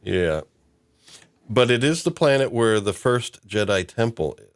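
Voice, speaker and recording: A man's voice: mid-range voice, lively intonation, a little slow, no room sound, studio quality. A man hosting a casual podcast discussion, rambling with many restarts and chuckles. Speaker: podcast host.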